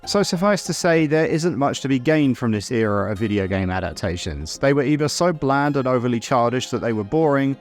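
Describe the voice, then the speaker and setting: Lively conversational man narrating a video essay. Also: medium pitch. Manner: conversational, opinionated, mildly sweary. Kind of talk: scripted video essay narration with casual asides.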